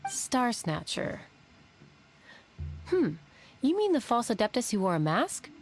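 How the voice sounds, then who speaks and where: A composed innkeeper from a video game. She is polite and measured, with a lively, varied melody. Soft music plays behind her. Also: a little high, full character voice. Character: composed, polite female inn keeper. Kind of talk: video game character dialogue.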